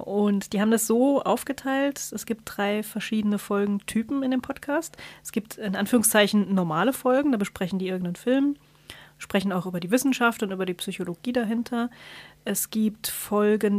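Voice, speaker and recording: A woman's voice: mid-range voice, a little fast, a little mellow, no echo. Woman podcaster with a very dry sound. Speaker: podcast co-host. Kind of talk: casual podcast chat.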